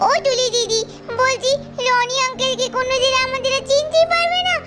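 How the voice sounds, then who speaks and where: Very high-pitched little doll girl. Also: a little fast, loud music behind the voice. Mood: worried, sad. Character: earnest little doll girl, worried and pleading. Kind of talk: children's cartoon voice acting.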